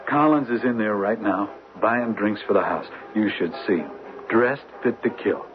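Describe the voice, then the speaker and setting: An actor playing a dogged, hot-headed, vengeful young detective in a radio drama, speaking bluntly and folksily with small stammers. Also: male voice, lowish voice, normal speed, faint music behind, natural voice. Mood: eager. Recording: muffled old radio broadcast.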